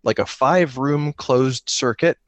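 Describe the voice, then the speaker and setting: A man who podcasts about solo tabletop gaming, chatting casually and explaining in an animated way, repeating phrases for emphasis. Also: mid-range voice, measured pace, no room sound.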